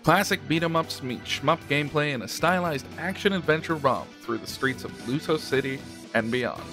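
A man's voice: on the high side, moderate pace. Man reading a scripted announcement over music. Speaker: studio presenter.

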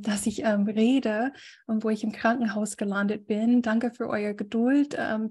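A woman coach giving a relaxed talk to a group on a video call, pausing often with fillers. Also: medium pitch, husky. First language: German. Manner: thoughtful, many fillers, reads a definition aloud. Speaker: guest speaker and coach.

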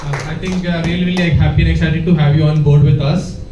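A man's voice: fairly high pitch, fairly even intonation, fast, heavy background noise. A man who is the team's star player, welcoming the coach earnestly and repeating himself, in a large hotel function room. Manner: earnest, repetitive. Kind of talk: welcome speech. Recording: dull, echoey hall from far off.

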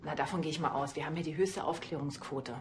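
A woman's voice: sits low, fairly even intonation, fast talker, background noise, close to an everyday voice. An actress playing a kindly but firm policewoman in a TV drama, casual and sympathetic.

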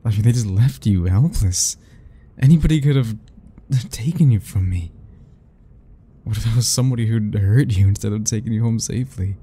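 A man voicing a doting, possessive yandere admirer in soft, husky, intimate murmurs for an ASMR romance roleplay. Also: on the low side. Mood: doting, obsessive. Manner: slow, intimate murmuring, coaxing and self-talking.

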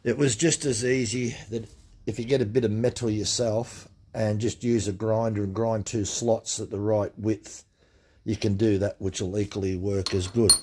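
A man's voice: fairly low pitch, steady tone, normal speed, mellow, husky. A middle-aged man, demonstrating a tool in a practical, plain-spoken way at his workshop bench. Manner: plain-spoken and practical, with many fillers and restarts. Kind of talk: casual hands-on tool demonstration. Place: indoor workshop at a cluttered workbench. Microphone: camera mic filming his hands up close.

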